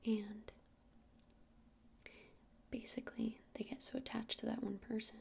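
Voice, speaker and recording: Whispering, creaky-edged witch shopkeeper played by a woman. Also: fairly high pitch, flat delivery. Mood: soothing, earnest. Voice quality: breathy whisper with creaky edges. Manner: unhurried, hesitant, explaining gently to a customer. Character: gentle witch keeping a crystal shop. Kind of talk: ASMR roleplay, softly whispered.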